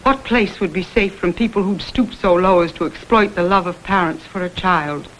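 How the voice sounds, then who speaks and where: An actress playing a guarded, anxious institution director in an old crime drama, speaking formally and earnestly. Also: female voice, on the low side, moderate pace, close to an everyday voice. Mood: pleading. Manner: formal, earnest, careful wording. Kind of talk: vintage American television crime drama acting. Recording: faintly noisy vintage film soundtrack.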